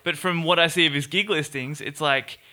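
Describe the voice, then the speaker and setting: A man's voice: on the high side, fairly even intonation, brisk, pushed and bright, slight background noise. A man hosting a casual onstage chat, joking and laughing often. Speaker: host.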